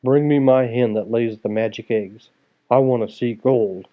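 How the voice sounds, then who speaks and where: Man playing a booming, phone-like storybook ogre. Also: moderate pace, natural voice. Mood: gruff, commanding. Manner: booming, harsh. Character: huge gruff greedy male ogre, bellowing and hungry.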